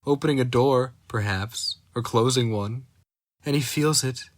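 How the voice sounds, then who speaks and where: A man giving a slow, intense dramatic reading of a dark fantasy story. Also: mid-range voice, slight background noise. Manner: slow, brooding, intense delivery. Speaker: narrator.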